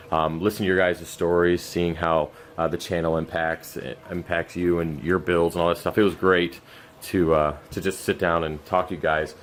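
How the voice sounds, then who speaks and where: A very low-voiced man, hoarse and running out of voice, rambles on with fillers and stops as he tends his messy fish room. A faint hum runs underneath, picked up by a camera mic that follows him around. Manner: loose, rambling, many fillers and restarts. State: losing his voice, scratchy throat; exhausted. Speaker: aquarium channel host. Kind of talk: rambling unscripted update. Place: cluttered home fish room. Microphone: camera mic while walking around.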